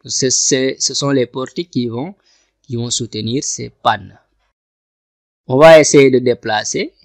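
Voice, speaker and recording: Gravelly man talking through software steps. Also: medium pitch, no echo. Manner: talks through each click step by step, often repeating himself. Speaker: software instructor. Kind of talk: screen-recorded software tutorial. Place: at a computer, voice over screen capture.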